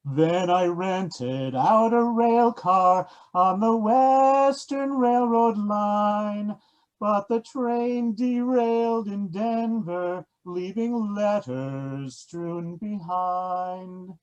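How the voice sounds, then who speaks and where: A woman's voice: mid-range voice, drawn-out words, darkish tone. A woman performer reciting rhyming verse in readers theatre. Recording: dull lo-fi recording.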